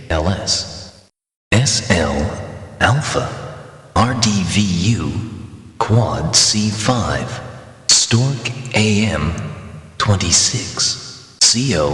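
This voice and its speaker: A man voicing a video game narrator, reading short scripted item descriptions in a deliberate, clipped manner. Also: sits low, a bit bright, heavily acted. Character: matter-of-fact male game narrator reading out items. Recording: echoey game clips with long reverb.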